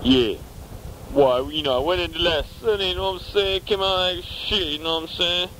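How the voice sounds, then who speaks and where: A man with a high voice prank calls, halting and rambling in a mock-innocent way. Faint hiss sits under the line. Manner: rambling, halting, fake naive, absurd. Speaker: prank caller. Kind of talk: prank phone call.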